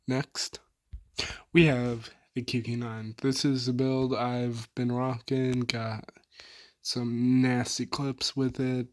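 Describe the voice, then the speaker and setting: Young man, hoarse and soft-spoken, slow unhurried delivery, flat tone, dry close sound. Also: medium pitch, no room sound. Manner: laid-back, filler-heavy, trailing off. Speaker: gaming YouTuber. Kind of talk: casual weapon build rundown.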